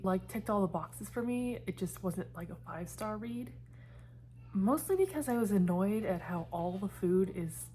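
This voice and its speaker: A woman's voice: fairly low pitch, warm tone, slight background noise. A woman in her thirties chatting casually in a book vlog, meandering and trailing off as she talks. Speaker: book vlogger. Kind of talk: casual chat.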